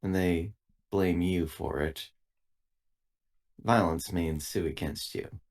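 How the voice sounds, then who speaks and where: A man playing a formal, literal-minded android crew member who speaks precisely and stiffly, with calm menace. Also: deep voice, slow pace. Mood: calm, matter-of-fact.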